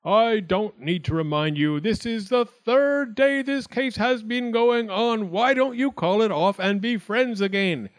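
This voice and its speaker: A man's voice: high-pitched, unhurried, few pauses, no echo, voice pushed up. A man voicing a self-important, nervous wildcat judge in a storybook, speaking in a formal, emphatic and blustering manner.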